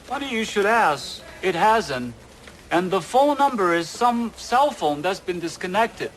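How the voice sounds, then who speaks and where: High-voiced, easygoing studio owner. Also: male voice, sing-song, unhurried, a bit bright, slight background noise, heavily acted. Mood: obliging. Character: easygoing male owner of rundown film studio. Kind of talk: crime audio drama dialogue. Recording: faint music behind.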